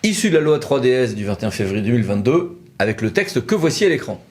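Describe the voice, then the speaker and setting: A man's voice: medium pitch, sing-song, fast talker. Man giving a conversational legal explainer. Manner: didactic, thinks aloud with rhetorical questions. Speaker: lawyer presenting a legal explainer.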